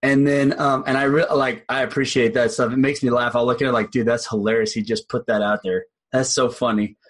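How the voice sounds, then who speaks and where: A man's voice: medium pitch, little pitch movement, brisk. A young male podcast host talks in a casual, eager way. He repeats his points and says "like" a lot. He is recorded on a close mic with a dry sound and no room echo. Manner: enthusiastic, repeats himself, says "like" often.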